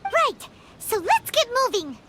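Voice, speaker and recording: A woman voicing a tiny, excitable, childlike fairy companion in a video game, with a squeaky, exclamatory delivery. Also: a little slow, slight background noise, exaggerated voice. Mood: eager. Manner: exclamatory, speaks of herself in third person.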